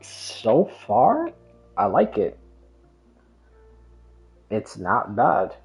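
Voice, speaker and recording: A man's voice: on the low side, huge pitch swings, very slow, creaky. A man chatting casually as a game streamer, rambling and hesitant, often trailing off and drifting onto tangents. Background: background music.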